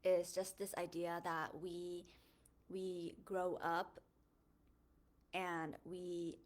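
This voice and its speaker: A woman's voice: on the low side, level tone, drawn-out words. A young woman from Thailand, raised in the United States, sharing her story in an earnest, thoughtful monologue with frequent fillers and self-corrections. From born in a refugee camp in Thailand, Hmong, raised in the United States. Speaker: host of a faith podcast. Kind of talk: reflective personal monologue.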